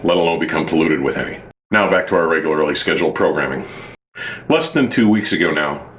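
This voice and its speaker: Male reviewer, low register, formal and measured delivery, semi-scripted monologue, audible room noise behind the voice. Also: fairly bright tone. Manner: formal, wordy, measured phrasing. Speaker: audio reviewer and show host.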